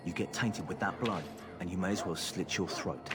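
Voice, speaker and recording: Man as a deep, stern army sergeant. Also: normal speed, over loud music, lightly acted. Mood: stern, grim. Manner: commanding, blunt, grave. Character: stern male army sergeant instructing soldiers, grim. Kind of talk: video game dialogue.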